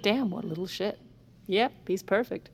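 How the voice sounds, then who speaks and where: An actress in her forties playing a sharp-tongued, scheming First Lady in an audio drama, with a sardonic manner. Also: mid-range voice, moderate pace, slightly rough, close to an everyday voice. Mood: wry. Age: 40s. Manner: wry, sardonic. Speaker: lead actor. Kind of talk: audio drama acting with wry asides.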